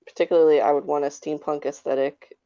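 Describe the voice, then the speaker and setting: Low-voiced woman in casual discussion. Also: level tone, quick pace, mellow, no room sound. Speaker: podcast panelist. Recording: slightly dull, lo-fi audio.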